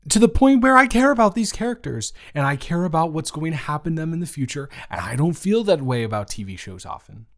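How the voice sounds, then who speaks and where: A man playing a smitten, playfully dramatic boyfriend in an improvised romantic audio roleplay, teasing and repeating his words haltingly. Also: on the high side, sing-song, voice pushed up. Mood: mock outrage, exasperated.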